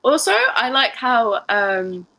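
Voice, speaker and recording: A woman's voice: on the high side, sing-song, takes it slowly, pushed and bright, no room sound. A woman with an English accent, asking speaking-test questions in a friendly way. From England. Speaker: examiner.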